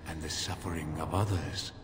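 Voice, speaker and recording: An actor voicing an ancient, solemn armoured guardian of a holy relic in a fantasy video game, grave, formal and deliberate. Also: male voice, extremely low pitch, steady tone. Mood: grave, probing. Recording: echoing hall reverb with ambient noise.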